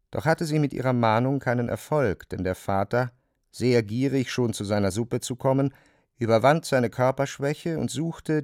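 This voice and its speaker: A man's voice: medium pitch, darkish tone, dry recording, studio quality, lightly acted. A man performing as the sober literary narrator of a radio play.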